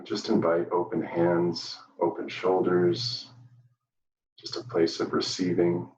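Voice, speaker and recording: A man guides a meditation in a very low, even-toned voice. He speaks gently and soothingly, leaving long pauses between phrases. The sound is roomy, as if the microphone sits some way off. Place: indoor room, live virtual event. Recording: dull, roomy, distant microphone.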